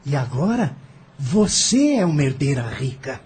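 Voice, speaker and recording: Young boy, acted, candid and plain-spoken, rough breathy tone, animated delivery, for audio drama. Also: mid-range voice, unhurried, heavily acted. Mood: frank. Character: candid young boy, Maria's little brother.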